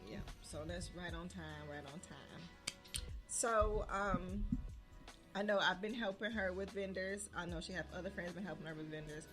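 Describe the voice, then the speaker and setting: Woman near forty, low voice, casual podcast chat, faint music underneath. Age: 30s. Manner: chatty, laughs often, drawn-out fillers. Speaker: podcast co-host and small business owner.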